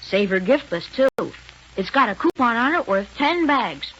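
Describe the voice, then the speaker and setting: An excited youngster in a vintage radio ad, gushing and eager. The voice is high and a little husky. The recording sounds muffled. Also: female voice, measured pace, heavily acted. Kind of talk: 1930s radio ad acting.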